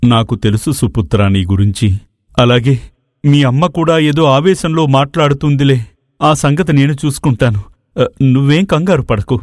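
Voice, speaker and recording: A man voicing a patient, fatherly maharaja with measured, formal speech in a dramatized moral story. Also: mid-range voice, a little mellow, no room sound, clean studio sound, close to an everyday voice. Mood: fond, encouraging.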